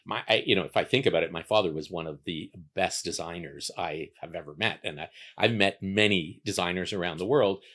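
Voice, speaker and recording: A man's voice: low-pitched, brisk, few pauses, fairly bright tone. A man from Canada whose first language was an Italian dialect, speaking reflectively as an interview guest, with many fillers and restarts. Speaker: guest, architect. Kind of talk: relaxed conversational interview.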